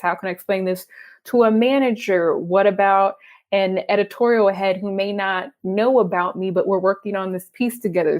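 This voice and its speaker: A young adult woman from Texas with a Texan accent, a freelance writer chatting animatedly and laughing often in an interview. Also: medium pitch. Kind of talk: conversational interview.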